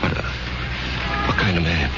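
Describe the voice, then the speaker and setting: An American man playing a troubled, anxious war veteran in a 1970s radio drama, agitated and halting as he questions. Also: lightly acted. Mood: tense. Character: troubled, anxious married war veteran haunted by dead buddy. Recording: dull old radio broadcast, music behind.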